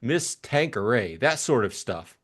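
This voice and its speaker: A man performs an upper-class young Englishman from a classic mystery audiobook, simple-hearted and eager to please. He gushes and rambles in breezy slang, with very lively swings in pitch. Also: unhurried. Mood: enthusiastic rambling. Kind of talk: audiobook character acting.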